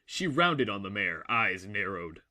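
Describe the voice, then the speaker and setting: A male narrator with a fairly low, crisp bright voice. He reads a scripted story in a dramatic storytelling style. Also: sing-song, measured pace.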